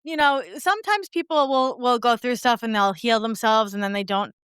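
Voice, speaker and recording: A woman hosts an interview podcast in a bright, forceful voice. Her sound is very clean and dry, with no room around it. She talks conversationally and is openly appreciative and effusive toward her guest. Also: on the high side, normal speed, smooth, no room sound. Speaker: podcast host.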